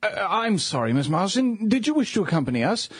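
An actor playing an awkward, smitten thirty-year-old English lord in a radio drama, hesitant, polite and easily flustered. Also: male voice, high voice, big pitch swings, fairly quick, breathy edge, full character voice.

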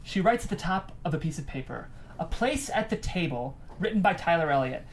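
A woman's voice: fairly low pitch, expressive, a little fast, a little hiss or hum. A woman giving scripted narration, reading out the stage directions of a screenplay.

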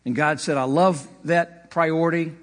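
A man's voice: fairly high pitch, steady tone, unhurried. A man preaching a sermon as pastor, conversational, with occasional slips and light laughter. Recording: long hall reverb.

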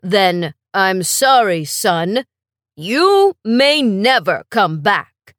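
A woman's voice: medium pitch, very animated, drawn-out words, fairly bright tone, no room sound, studio quality, pitched below the performer's own voice, heavily acted. A woman voicing a stern but caring male school principal who speaks firmly and reassuringly. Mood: scolding. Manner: firm, reassuring.

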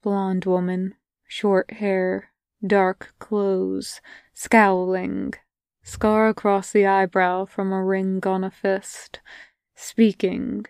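A woman reads a story in a slow, unhurried and hushed way, her voice clean and smooth. Her phrases come in broken fragments. She sits close to a dry mic with no room sound around her. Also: medium pitch, level tone, dry recording. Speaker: podfic reader. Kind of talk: scripted fan fiction reading.

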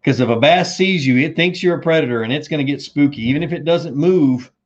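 A man hosting a casual fishing chat, reading viewer questions and answering in a relaxed, friendly way, slightly hoarse as he loses his voice. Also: fairly high pitch, fairly quick, lo-fi sound. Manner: laid-back, says dude and man, reads viewer comments aloud. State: losing his voice.

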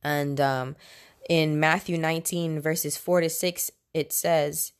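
A woman's voice: low voice, fairly even intonation, slow, smooth. A woman in her late twenties talking casually and candidly as a podcast host, conversational and opinionated in a personal monologue. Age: late 20s.

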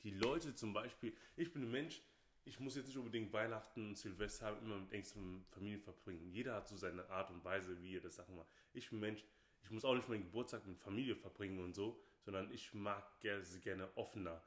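A man's voice: fairly low pitch, brisk. A man from Nigeria, a podcast co-host talking casually and emphatically, often repeating himself. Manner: emphatic, repeats phrases, often says "okay, hey". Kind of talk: casual opinionated chat. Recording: roomy echo.

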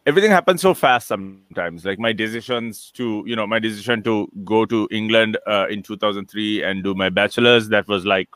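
A man in his late thirties from Sri Lanka, a guest chatting casually on a video call, with a relaxed, rambling manner, restarting and chuckling often. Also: fairly low pitch, fairly quick, hardly any pauses, dry recording. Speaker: interview guest, entrepreneur. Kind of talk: casual conversational interview.

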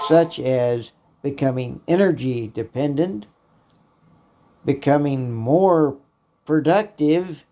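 A man with a hoarse voice, hosting a political commentary podcast in a slow, halting way, often clearing his throat. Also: on the high side, mellow. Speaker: podcast host.

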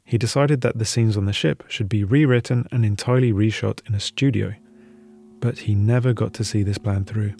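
A man's voice: fairly low pitch, steady tone, fairly quick, creaky, dark tone. A man reading scripted documentary narration as the narrator.

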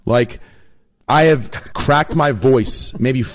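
A male streamer with a deep voice, sick, chatting casually on a podcast with stammering restarts. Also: slow pace. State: sick, voice cracking.

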